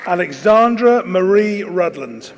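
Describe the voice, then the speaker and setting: A man reads out names formally as a ceremony announcer. His delivery is very slow and carefully enunciated, with an animated rise and fall. It rings through a big echoing hall over a noisy crowd. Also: sits high. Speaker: announcer reading out graduates' names. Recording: reverberant hall, loud crowd noise behind. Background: loud crowd noise.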